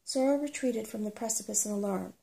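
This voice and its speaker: A woman reading a story aloud as a narrator in a soothing, measured ASMR style. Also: medium pitch, gravelly, dark tone.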